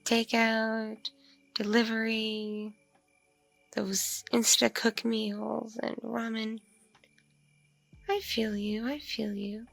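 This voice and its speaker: A woman acting as a mature vampire hostess in an ASMR roleplay, with heavy vocal fry running through a fairly high voice. She is soft-spoken and flirtatious, drifting along slowly with absent-minded murmurs and hesitant pauses. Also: fairly even intonation, no room sound, soft background music, exaggerated voice. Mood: curious, teasing. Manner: hesitant, murmuring, lingering pauses with ums and mms. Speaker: roleplay voice performer. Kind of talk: ASMR roleplay, one-sided intimate conversation.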